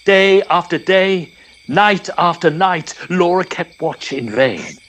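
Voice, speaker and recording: A man narrating a poem in a theatrical, measured storytelling style. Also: high-pitched, lively intonation, fairly bright tone. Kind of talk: dramatic poetry reading, storytelling.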